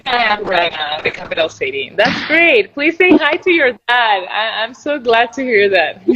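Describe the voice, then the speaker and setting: A woman's voice: fairly high pitch, sing-song, unhurried, sharp tone, highs cut off. A young woman from Venezuela, a native Spanish speaker, hosting a casual video-call interview in English with a chatty, upbeat manner and many restarts. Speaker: host interviewer.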